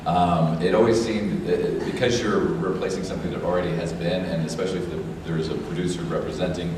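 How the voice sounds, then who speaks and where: A man with a low voice, a voice actor, answering on a convention panel with halting restarts, recorded from far off. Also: steady tone. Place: large convention hall. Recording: echoey hall from far off, noise.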